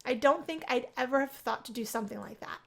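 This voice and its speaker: Visual novel lead: earnest female student voiced by a woman, courteous, slightly bashful, higher register. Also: quick pace, gravelly. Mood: shy, friendly. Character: earnest, helpful, bookish young female college student. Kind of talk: visual novel first-person character.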